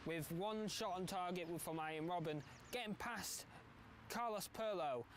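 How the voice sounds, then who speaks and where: A man narrating a football documentary in a measured, explanatory way. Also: high voice, slight background noise.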